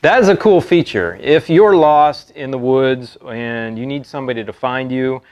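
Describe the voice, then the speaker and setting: An American man in his thirties giving a casual product review to camera in a halting, conversational way. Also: medium pitch, a little mellow. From Texas, United States. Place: open metal-roofed stable, seated at a pickup tailgate.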